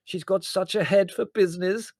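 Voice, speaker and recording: A man voicing a hearty, hard-of-hearing old great-aunt in a storybook reading, exclaiming loudly and cheerfully oblivious. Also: high voice, fairly even intonation, moderate pace, no echo, voice pushed up. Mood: proud, oblivious. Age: older. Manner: loud, exclaiming, interrupts with stray remarks.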